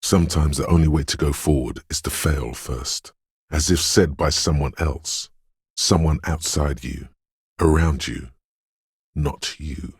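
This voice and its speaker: Game role: ominous dreamlike inner voice, very raspy, unhurried, close and dry, cryptic and hushed in its intensity. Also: male voice, fairly even intonation, no echo, exaggerated voice. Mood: foreboding. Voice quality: very deep, gravelly, husky. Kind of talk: dramatic game narration.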